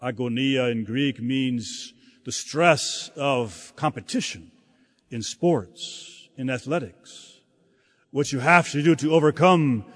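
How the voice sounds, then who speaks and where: Slow-preaching man in a reverberant hall. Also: medium pitch. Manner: slow, halting delivery with frequent repeated words. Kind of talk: sermon.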